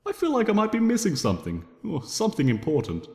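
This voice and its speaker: Theatrical man with wildly swooping pitch. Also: huge pitch swings, fast talker, a little mellow. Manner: theatrical, playful. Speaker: fan fiction reader and narrator. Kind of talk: dramatic first-person storytelling in character as a playful trickster. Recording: reverberant room with long echo.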